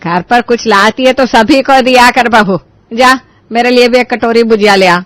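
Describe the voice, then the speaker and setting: Woman acting a high-voiced, demanding mother-in-law. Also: moderate pace, fairly bright tone, no room sound, lightly acted. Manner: bossy, complaining. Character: demanding, greedy middle-aged mother-in-law who loves food.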